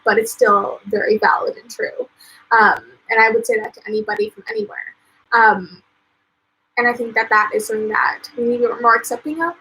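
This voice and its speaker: A young American woman, an author, chatting casually on a live stream, full of "um"s and "like"s and quick laughs. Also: on the high side, sharp tone, husky, poor audio. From United States. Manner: chatty, lots of "um" and "like", laughs often. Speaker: author and vlogger.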